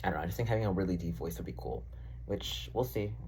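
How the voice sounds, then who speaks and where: Young man, deep gravelly voice, rambling vlog chat, lots of "like", faint noise behind. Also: fast, a little mellow. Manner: rambling, filler-heavy, self-deprecating, swears casually. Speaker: vlogger. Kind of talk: casual rambling diary vlog.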